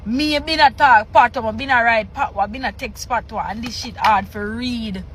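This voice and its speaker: A woman from Jamaica with a Jamaican accent, reacting in casual commentary with animated disbelief. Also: on the high side, measured pace, a little hiss or hum. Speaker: reaction video host.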